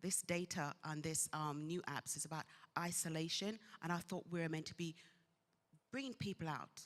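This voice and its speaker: A woman from England with a notably low voice raises an earnest, concerned question from the audience. Her words ring out with the long reverb of a big conference hall. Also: normal speed. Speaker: audience member asking a question. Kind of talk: question from the floor.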